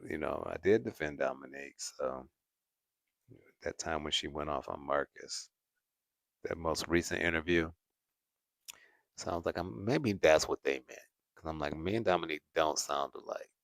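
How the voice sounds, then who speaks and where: Deep-voiced man, casual livestream chat. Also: normal speed, creaky, long pauses, a bit bright, no room sound. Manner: laughs often, repeats "at the end of the day". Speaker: host.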